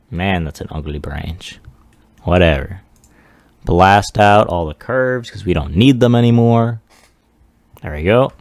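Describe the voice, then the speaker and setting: Guy with gravelly voice slowly talking thru his 3d project, offhand, kinda self-deprecating. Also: deep voice, a little mellow, no echo. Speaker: 3D artist presenting a project. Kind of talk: casual software demo walkthrough.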